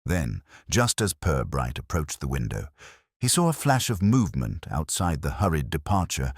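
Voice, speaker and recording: A man narrating a scripted mystery story in a measured, formal, literary manner. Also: very deep voice, very animated, creaky, slightly rough, no room sound, clean studio sound. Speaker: audiobook narrator.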